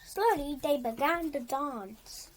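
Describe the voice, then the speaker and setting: A child reading a storybook aloud with dramatic emphasis, halting and repeating words along the way. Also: high voice, animated.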